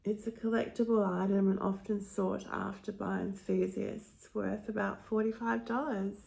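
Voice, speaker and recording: A woman vlogger, nasal and congested from hay fever, casually showing off her thrift-shop finds in a gentle, unhurried way with frequent ums. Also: mid-range voice, flat delivery, vocal fry.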